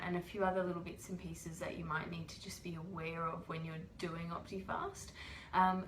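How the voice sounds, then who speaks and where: Low-voiced woman with a cold, echoey room. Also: a little hiss or hum. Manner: chatty, explains patiently with many ums. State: has a cold. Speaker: host. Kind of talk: casual livestream explainer. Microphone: webcam or phone livestream.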